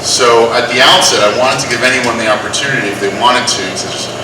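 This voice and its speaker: A man on a seminar panel, relaxed, inviting the audience to ask questions. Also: medium pitch, fairly quick, background noise. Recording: echoey room from far off.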